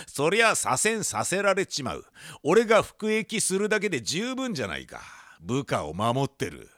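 A man playing a proud, self-righteous jailed department manager, telling his tale in dramatic, halting style in a comic radio drama. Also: high voice, fairly bright tone, no room sound, clean studio sound, exaggerated voice. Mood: smug pride. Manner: dramatic, halting, boastful storytelling.

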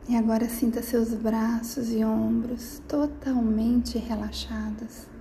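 A woman guiding a sleep meditation in a slow, soft, soothing manner with gentle pauses, slipping into near-whispers. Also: a little high, little pitch movement, creaky, slight background noise. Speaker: meditation guide.

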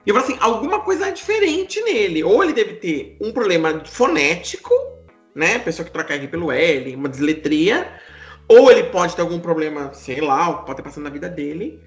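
Brazilian male podcast host, very lively delivery, casual storyteller, roomy sound with faint music. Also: on the high side, fairly quick. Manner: expressive, animated.

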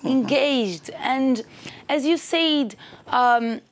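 Young woman interviewer, higher voice. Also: extremely slow. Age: young adult. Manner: encouraging, brief back-channel replies. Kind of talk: interview questions.

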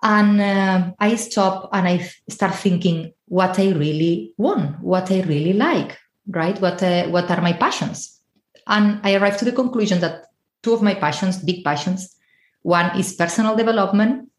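A woman's voice: medium pitch, unhurried. A woman in her forties from Spain, with a Spanish accent, telling her own story conversationally as a podcast guest. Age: 40s. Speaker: podcast guest, travel and life coach. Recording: roomy, echoey sound.

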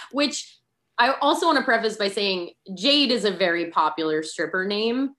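A woman's voice: medium pitch, animated, a little slow, fairly bright tone. Chatty woman from New England. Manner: chatty, frequent likes and ums. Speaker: podcast guest. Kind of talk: casual interview.

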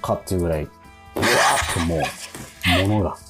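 A man's voice: low-pitched, moderate pace, a bit bright, faint music behind. A man co-hosting a radio show, reading out mail and chatting casually plenty of small interjections. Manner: chatty, frequent backchannels. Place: remote recording from home.

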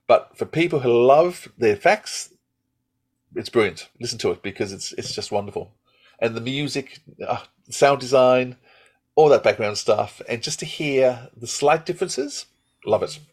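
A man's voice: medium pitch, animated, normal speed, a little mellow. A man hosting a podcast, giving enthusiastic, rambling reviews in casual chat and often breaking off his own sentences. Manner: enthusiastic, rambling with self-interruptions. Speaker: podcast host.

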